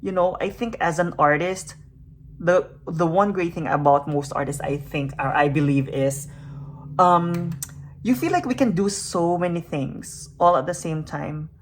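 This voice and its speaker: A woman's voice: fairly low pitch, measured pace. A young adult woman, a native Tagalog speaker, talking in an earnest, searching way in a reflective personal vlog. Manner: soft, earnest and searching, with fillers and self-questions. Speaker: makeup YouTuber.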